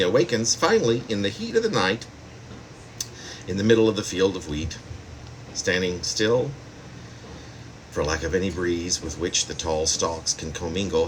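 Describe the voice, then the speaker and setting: A man's voice: deep voice, lively intonation, normal speed, a little hiss or hum, poor audio. A man reading his own poem aloud as a poet, in a spoken poetry-reading style.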